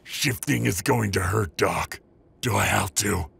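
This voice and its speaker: A man playing a wounded, stubborn dragon-shifter henchman in an audio roleplay, gravelly, strained and cheekily sarcastic. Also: lowish voice, a little slow, background noise. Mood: sarcastic, weary.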